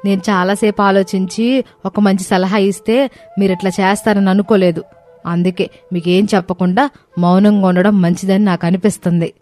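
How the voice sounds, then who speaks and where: A brisk young daughter-in-law acted by a woman from a cartoon tale. She is practical and emphatic, mid-pitched, with music playing underneath. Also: fairly even intonation, a little mellow, natural voice. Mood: resentful. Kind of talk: cartoon story dialogue.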